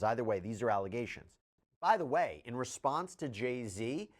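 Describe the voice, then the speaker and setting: Guy doing news analysis on legal stuff, really lively pitch, explains things with emphasis. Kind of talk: news analysis commentary to camera.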